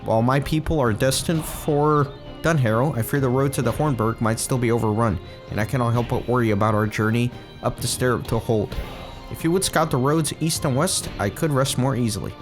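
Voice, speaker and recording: A man's voice: mid-range voice, fairly quick, music in the background, close to an everyday voice. A man reading as a worried village leader. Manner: solemn, plainly read quest text.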